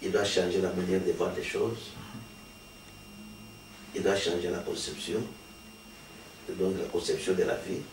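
A man's voice: sits low, level tone, unhurried, long pauses, reverberant. Man, pastor from Congo, earnest explaining, distant mic, faint hiss. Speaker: pastor, guest. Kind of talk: sermon-like interview answers. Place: small studio with patterned wallpaper.

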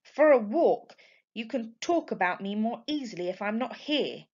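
A woman's voice: a little high, expressive, moderate pace, darkish tone. A woman voicing a defiant, indignant young girl in a storybook. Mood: sulky. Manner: sulky, indignant. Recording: dull lo-fi home recording.

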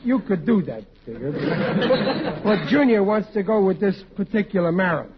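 A man's voice: high-pitched, lively intonation, moderate pace, over a noisy crowd. An actor playing a hapless, dejected husband and father with a plain, folksy, ungrammatical way of talking in a 1940s radio comedy. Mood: rueful. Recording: muffled, noisy 1940s radio recording.

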